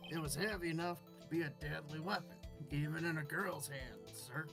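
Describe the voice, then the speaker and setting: A man's voice: on the high side, normal speed, close to an everyday voice. A man playing an earnest, deferential police detective in an amateur game dub. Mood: earnest, dutiful. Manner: earnest, says sir often. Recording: game music behind voice.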